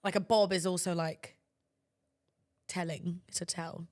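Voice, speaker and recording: A woman's voice: on the low side, fairly even intonation, measured pace, darkish tone. A woman co-hosting a podcast in casual banter, with a teasing, sceptical, questioning manner. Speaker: podcast co-host.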